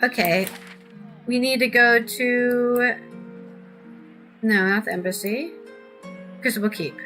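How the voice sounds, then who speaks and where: A woman's voice: on the high side, slow pace, sharp tone. A woman casually commenting on a game she is playing and reading its text aloud, chatty and jokey. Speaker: game streamer. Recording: voice over game music.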